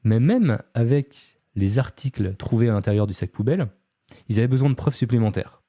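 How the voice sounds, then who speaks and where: Dark-voiced man telling a story casually. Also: medium pitch, brisk, no echo, clean studio sound. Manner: relaxed, chatty, frequent fillers and asides to a co-host. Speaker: podcast host. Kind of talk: casual true-crime storytelling.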